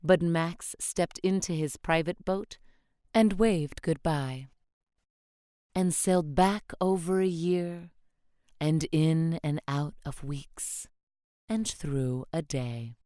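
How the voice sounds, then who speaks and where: Slow, gentle storybook reader, woman. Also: sits low, no room sound, clean studio sound. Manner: expressive, gentle, rhythmic. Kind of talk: read-aloud children's storybook narration.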